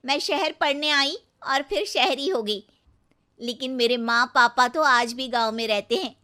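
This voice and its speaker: A woman voicing a warmhearted young city woman in a cartoon story, gushing and effusive. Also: high-pitched, voice pushed up, exaggerated voice.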